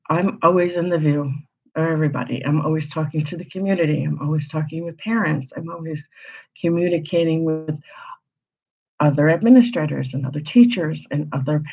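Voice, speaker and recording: A woman's voice: low voice, a little mellow, breathy edge. A woman hosting an astrology channel, giving a casual, rambling forecast full of rhetorical questions. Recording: slightly dull lo-fi audio.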